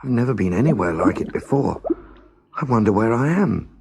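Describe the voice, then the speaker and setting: A man's voice: lowish voice, lively intonation, fairly quick, creaky, background noise. A man voicing a small lost girl in a storybook reading, earnest and polite, with a timid tremble. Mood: puzzled.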